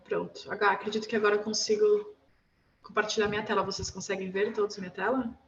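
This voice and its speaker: A woman's voice: medium pitch, little pitch movement, fast. Woman host on a noisy, muffled call. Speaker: event host and moderator. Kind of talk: moderating an online panel. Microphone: video call. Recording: muffled online call with noise.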